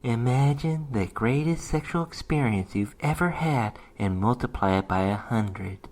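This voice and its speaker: A man's voice: medium pitch, expressive, a little slow, a little mellow, voice pushed down, natural voice. A man voicing a seductive, cunning female demoness in a sultry, coaxing manner for a story reading.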